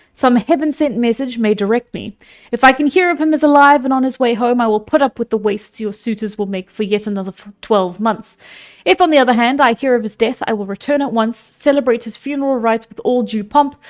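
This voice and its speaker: A woman's voice: fairly high pitch, natural voice. A woman voicing an earnest young prince pleading his case, close to her own voice.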